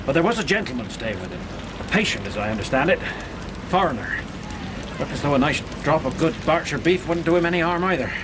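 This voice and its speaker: An actor playing a chatty, respectful village constable in a radio drama, deferential and gossipy. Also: male voice, on the high side, lively intonation, fast, noisy background, close to an everyday voice. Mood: helpful, gossipy.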